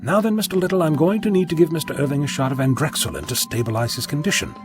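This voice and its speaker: A man's voice: on the high side, fast talker, over music, close to an everyday voice. A man playing a flippant, articulate, unflappable eccentric time traveller in an audio drama. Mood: brisk, clinical.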